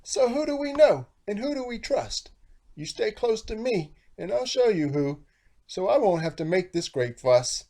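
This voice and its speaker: A man's voice: high voice, very animated, moderate pace, warm tone, pitched above the performer's own voice. A man voicing a fretful, protective mother hen in a storybook, with dramatic, emphatic rhyming warnings. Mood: scolding.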